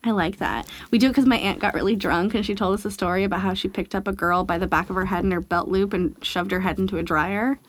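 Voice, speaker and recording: A woman's voice: medium pitch, steady tone, fast talker, hardly any pauses, no echo. A woman co-hosting a casual podcast, teasing her co-host and laughing often.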